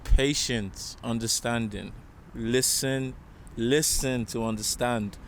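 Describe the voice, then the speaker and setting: A man's voice: medium pitch, steady tone, slow pace. A man chatting casually as a music artist in an interview, speaking in short fragments. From Nigeria. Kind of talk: casual chat. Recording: outdoor traffic noise.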